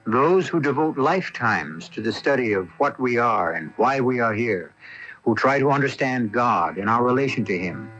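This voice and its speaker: A man's voice: medium pitch, expressive, normal speed, a bit bright, dull audio. A man narrating as host of a radio mystery drama, theatrical and musing, speaking directly to the listener. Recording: old radio broadcast.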